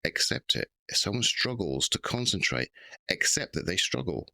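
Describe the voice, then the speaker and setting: A man hosting a casual podcast conversation, speaking in a halting way with pauses and repeated words. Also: low-pitched, big pitch swings, gravelly, bright, forceful tone, dry recording. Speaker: podcast host.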